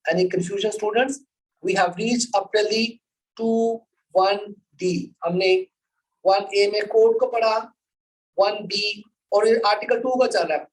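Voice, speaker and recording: A woman's voice: medium pitch, steady tone, slow pace, a little mellow, far from the mic, low-quality recording. A woman teaching law to students in a didactic way, repeating her points and mixing in English with her Hindi-Urdu. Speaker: law lecturer. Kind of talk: live lecture to students, explaining and reading out articles.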